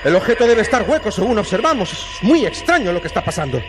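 Animated high-pitched radio drama reporter. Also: male voice, fast. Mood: alarmed awe. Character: excited male radio reporter narrating a strange cylinder landing. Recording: music behind.

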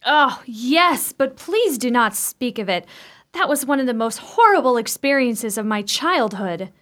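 Woman playing a genteel, high-voiced gothic radio-play sister. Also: expressive, a little slow, a bit bright. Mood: uneasy. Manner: formal, genteel diction. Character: composed married sister in a gothic household. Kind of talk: old-fashioned radio play acting.

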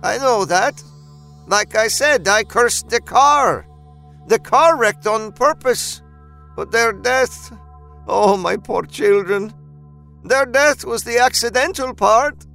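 Guy doing old grandma, high pitched, pleading n dramatic, hot tempered, faint music behind. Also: takes it slowly, creaky, bright, forceful tone, heavily acted. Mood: anguished.

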